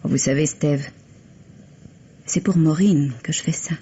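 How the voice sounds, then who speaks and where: An actress playing an earnest, solicitous woman in a French radio mystery, gently persuasive as she pleads to be allowed to help. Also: sits low, a little slow, a little hiss or hum. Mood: earnest, pleading. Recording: dull, muffled vintage radio recording.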